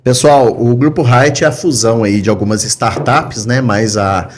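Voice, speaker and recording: A man's voice: medium pitch, normal speed. A man with a Brazilian accent hosting a podcast interview in a casual, chatty, informal way. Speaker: podcast host.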